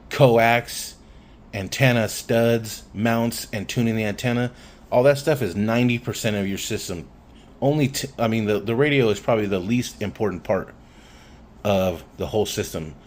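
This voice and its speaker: A man giving a casual hands-on explanation in a plainspoken, matter-of-fact way, recorded on a phone. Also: lowish voice. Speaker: hobbyist demonstrating radio wiring. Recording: phone recording with background noise.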